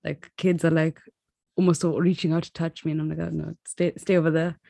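Animated young Kenyan woman chatting. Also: deep voice, gravelly, dry recording, clean studio sound. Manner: animated, quick reactions and laughter. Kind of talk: casual chat.

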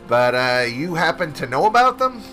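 A man's voice: a little high, very animated, moderate pace, bright, forceful tone, faint music behind, voice pushed up. A man voicing an earnest male police captain who asks careful questions with small stammers. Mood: probing.

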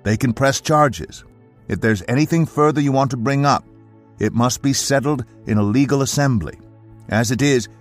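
A man giving a dramatic scripted reading of the Bible as an audiobook narrator. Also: mid-range voice. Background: faint music.

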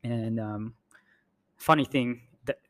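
A man's voice: mid-range voice, steady tone, takes it slowly. Laid-back joking man telling his story. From Philippines. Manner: laid-back, self-deprecating, many um's. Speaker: podcast co-host. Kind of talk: casual storytelling chat.

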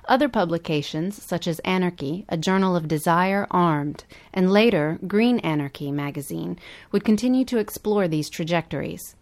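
A woman's voice: on the low side, expressive, moderate pace, a little mellow. A woman co-hosting a podcast, reading scripted documentary-style narration. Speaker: podcast co-host.

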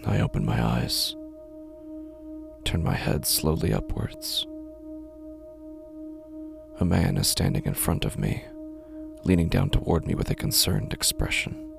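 An actor playing a haunted, anguished man who narrates his visions in a halting, breathy, intense audio drama monologue. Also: very deep voice, sing-song, full character voice. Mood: shaken, subdued. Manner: halting, intense, fragmented phrasing. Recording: music bed behind the voice. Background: music.

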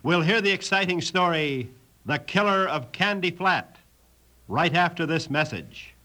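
High-pitched American radio announcer, man. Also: expressive, measured pace, bright, forceful tone. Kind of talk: excited 1950s radio announcing. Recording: old radio broadcast. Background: music.

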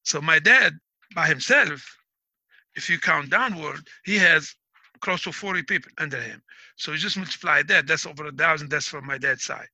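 A man's voice: a little high, normal speed, sharp tone, no echo, low-quality recording. A middle-aged man from Algeria, talking in an interview. He explains things like a teacher, proud and emphatic. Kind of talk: casual interview talk.

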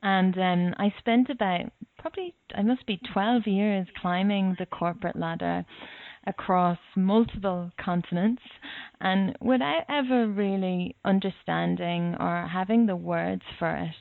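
A woman's voice: mid-range voice, a little slow, gravelly, no room sound, narrow, tinny audio. A woman with an Irish accent speaking as a life coach, earnest and emphatic, stressing her key phrases. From Ireland. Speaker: life coach and yoga teacher. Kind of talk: earnest personal talk about coaching.

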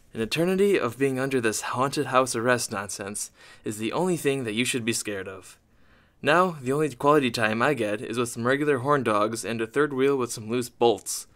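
A man's voice: medium pitch, a bit bright, close to an everyday voice. A man playing a sardonic, scheming ghost in a comedic audio drama, delivering sarcastic, wisecracking monologue. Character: sardonic, scheming male ghost trapped haunting a house.

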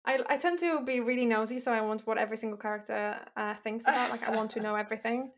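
A woman hosting a podcast and asking a guest questions in a relaxed, friendly way. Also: fairly high pitch, fast. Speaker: podcast host. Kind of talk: casual interview.

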